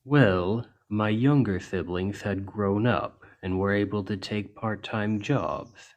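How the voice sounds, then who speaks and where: A man voicing a deep, slow, gentle orc healer. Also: muffled recording. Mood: earnest, reflective. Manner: measured, earnest, reassuring. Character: large gentle orc healer, kindly and plain-spoken. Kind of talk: ASMR roleplay, soft storytelling to an injured listener.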